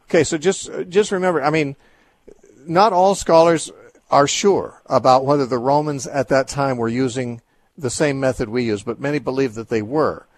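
A man's voice: medium pitch, moderate pace. A man hosting a radio call-in show, answering Bible questions in a thoughtful, explanatory way with frequent restarts.

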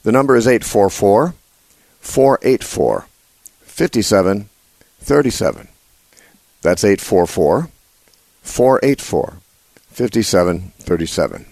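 A man's voice: expressive, gravelly, a little mellow, no echo. Congested man hosting call-in radio. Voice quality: usually a high nasal voice. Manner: halting, many uh fillers and restarts. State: congested, voice lower than usual. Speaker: radio show host. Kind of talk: live call-in Bible talk radio.

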